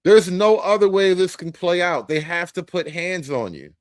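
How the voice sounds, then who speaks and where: A man reacts to a video in a casual, profane way, mocking what he sees. His voice sits a bit high and moves a lot in pitch. It is recorded close and dry, with no room sound. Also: expressive, normal speed, dry recording.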